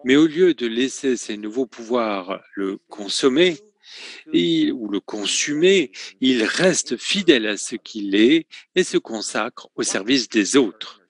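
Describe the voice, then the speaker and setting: A man in a mid-range voice voices a talk in French as an interpreter. His delivery is measured and steady, broken now and then by filler sounds and quick self-corrections as he finds the right word. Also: medium pitch. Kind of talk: French voice-over of a conference talk.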